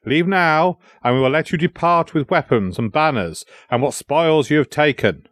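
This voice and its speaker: A man's voice: a little high, animated, moderate pace, a bit bright, no echo, pitched above the performer's own voice. A man voicing a defiant, noble prince in a storybook, proud and declamatory. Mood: proud offer.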